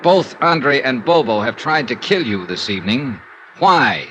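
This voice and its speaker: A hard-boiled investigator from a 1950s radio noir, cynical and world-weary. His voice sits at an ordinary male pitch and his delivery is terse and sardonic. The old recording carries a light layer of noise. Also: sing-song, unhurried, bright, forceful tone, poor audio. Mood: accusing, bitter. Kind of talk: 1950s radio noir acting and first-person narration.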